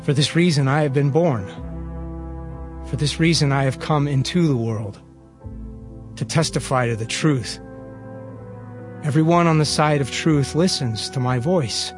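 Guy doing a grave holy teacher from a bible story, calm and resolute, slightly high voice, takes his time. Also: faint music behind, natural voice. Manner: measured, earnest.

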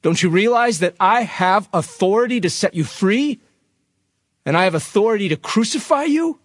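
A man's voice: on the high side, big pitch swings, takes it slowly, slightly rough, no room sound, clean studio sound, full character voice. A man voicing an exasperated, authoritative Roman governor in an emphatic, declamatory way for a scripture reading. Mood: forceful, pressing.

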